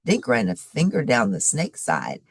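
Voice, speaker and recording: A woman reads a children's story aloud with big swings in pitch. Her voice sits very low, with a husky edge, and she tells it in an animated, expressive way. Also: unhurried, no echo, clean studio sound. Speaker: story reader. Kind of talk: expressive read-aloud of a children's chapter book.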